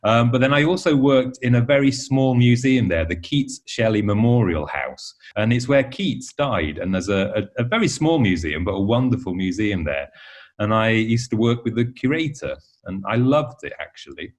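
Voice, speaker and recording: A man's voice: mid-range voice, animated, normal speed. A man from Yorkshire with an English accent, chatting casually as an interview guest in a rambling, self-correcting way. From Yorkshire, England. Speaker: interview guest, novelist and teacher.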